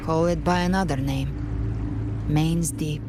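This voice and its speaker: A solemn fantasy game guide with a low voice. She talks slowly and gravely, with a measured sense of foreboding, over clearly audible music. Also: steady tone. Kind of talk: dramatic video game acting.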